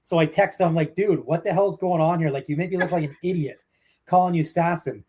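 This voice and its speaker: A man's voice: fairly high pitch, steady tone, a little fast, a little mellow, breathy edge. A young Canadian man, a hockey broadcaster, answering interview questions in a chatty, storytelling way with many fillers and restarts. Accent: Canadian English. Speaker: hockey play-by-play broadcaster, guest.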